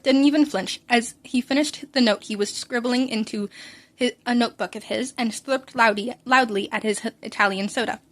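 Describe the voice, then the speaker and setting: A woman reading scripted fan fiction as narrator, with wry storyteller asides. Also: on the high side.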